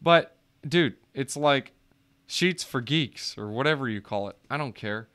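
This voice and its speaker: A man's voice: medium pitch, expressive, a little slow, fairly bright tone, clean tone. A man casually walking viewers through a screen share, joking and chatty, calling them guys. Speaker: crypto YouTuber.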